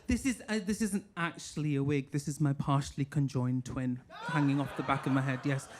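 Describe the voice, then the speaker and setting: A man from England with a northern English accent doing a stand-up set in a comedy club, mock-posh and deadpan with sudden high squeals. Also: fairly quick. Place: comedy club stage with a laughing audience. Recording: live room with crowd noise. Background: audience laughter and applause.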